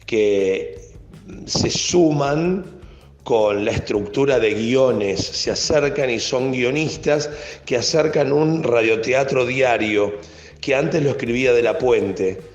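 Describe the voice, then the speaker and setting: Slow-paced Argentine man in a roomy space. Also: mid-range voice, slight background noise. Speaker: radio producer. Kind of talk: recollection in an interview. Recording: roomy, dull sound with music behind.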